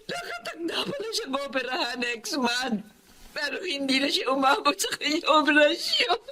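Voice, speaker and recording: A woman's voice: sits high, very animated, normal speed, sharp tone, exaggerated voice. An actress playing a grieving, anxious mother, speaking tearfully over a music bed. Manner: tearful, pleading. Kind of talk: Filipino radio-style drama.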